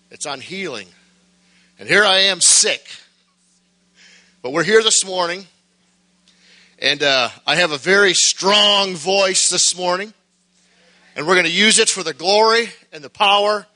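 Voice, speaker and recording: A middle-aged man preaching a sermon, folksy and joking at first, then building to shouted fervor. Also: on the high side.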